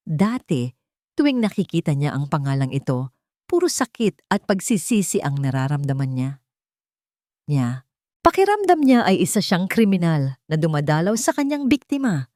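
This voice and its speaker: A woman narrating a scripted romantic drama story in a steady, even reading manner. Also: on the low side, no room sound, clean studio sound.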